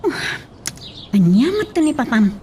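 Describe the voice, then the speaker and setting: A woman's voice: high-pitched, very animated, a little slow, mellow, slight background noise. A woman playing a concerned, inquisitive young best friend in an audio drama. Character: sympathetic, curious young female best friend.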